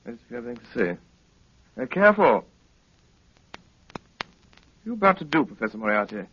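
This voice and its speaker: An actor playing a cool, sardonic, commanding gentleman detective in a 1930s radio drama, speaking with clipped, dryly polite authority. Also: mid-range voice, sing-song, quick pace, breathy edge. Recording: muffled, noisy 1930s radio recording.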